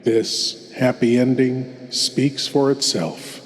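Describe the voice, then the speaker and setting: A man's voice: medium pitch, steady tone, takes it slowly, natural voice. A man playing a ghoulish, courtly lord of the shadows as a theatrical, ominous horror host. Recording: long cavernous reverb.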